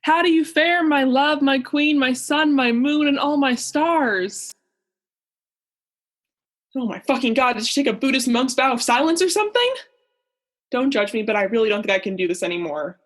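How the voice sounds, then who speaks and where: A woman's voice: sits high, animated, moderate pace. A young woman playing a melodramatic, lonely, self-pitying male diver in a comic radio play, with a theatrical manner. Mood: exasperated, melodramatic. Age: young adult. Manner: theatrical, gushing then griping.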